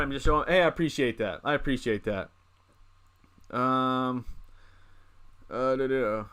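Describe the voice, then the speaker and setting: Bright-voiced young man chatting on stream. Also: a little high. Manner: rambling, reads chat and answers it. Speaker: livestream host. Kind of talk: casual live stream chat.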